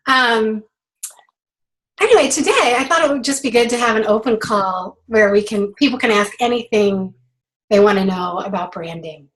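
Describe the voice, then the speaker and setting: Middle-aged woman, hoarse from a cold, relaxed host on a group call, talks in loose restarts. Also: on the high side, moderate pace, low-quality recording. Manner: chatty, many fillers and restarts. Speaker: branding coach hosting a clinic.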